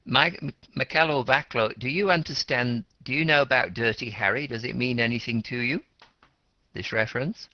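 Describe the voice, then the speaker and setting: A man's voice: medium pitch, moderate pace, bright, forceful tone, no room sound. A man teaching an English class, questioning students and explaining words simply with repetition and rephrasing. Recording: dull, lo-fi classroom recording.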